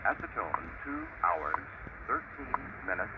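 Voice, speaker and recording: A man's voice: on the low side, very animated, unhurried. A man making formal, scripted time announcements over shortwave radio. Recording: muffled shortwave signal, loud static. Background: loud radio static.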